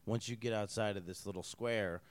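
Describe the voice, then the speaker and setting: A man's voice: on the low side, fairly even intonation, fairly quick, dry recording. A man hosting a podcast in casual interview chat, rambling loosely with many restarts and casual swearing. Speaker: podcast host. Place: hotel venue at a convention in Las Vegas.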